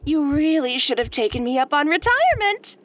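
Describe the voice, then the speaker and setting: A woman acting as an obsessed young female fan turned killer in a horror audio roleplay, soft-spoken, girlish and pouty, with sweet menace. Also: high voice, sing-song, fairly quick, lo-fi sound, heavy background noise. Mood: cheerful menace. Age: young adult.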